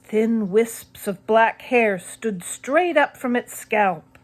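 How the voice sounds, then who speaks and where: Casting: woman narrator, children's horror audiobook, unhurried pace, expressive varied intonation, builds suspense. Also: on the high side. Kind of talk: scripted narration of a spooky children's story.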